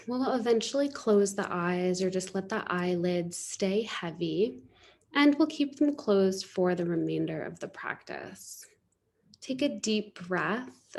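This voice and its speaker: A woman teaching yoga nidra, guiding a relaxation meditation in a soothing, unhurried way. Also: medium pitch, dark tone.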